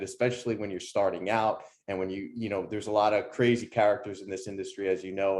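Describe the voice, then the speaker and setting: Low-voiced man asking rambling questions. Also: a little mellow. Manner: rambling, long questions with restarts. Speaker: interview host. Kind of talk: casual interview questions.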